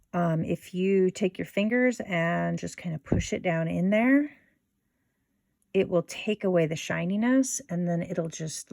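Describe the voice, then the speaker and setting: Slow-talking, creaky-voiced woman craft instructor. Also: lowish voice, a little mellow, no room sound, studio quality. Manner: informal, unhurried, thinking aloud as she works. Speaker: craft tutorial host. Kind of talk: casual step-by-step craft tutorial.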